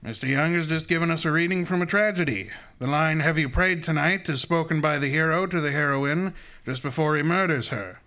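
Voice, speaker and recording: A man's voice: a little high, brisk, sharp tone. A man playing an imperious, erudite master detective in a radio drama, speaking in a formal, precise and pompous way. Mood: imperious, accusing. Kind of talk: old-time detective radio drama acting.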